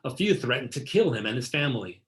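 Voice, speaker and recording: A man narrating a children's picture book in a deliberate storytelling delivery, stressing key words. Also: medium pitch, sing-song. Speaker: read-aloud narrator. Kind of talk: scripted picture-book read-aloud for children.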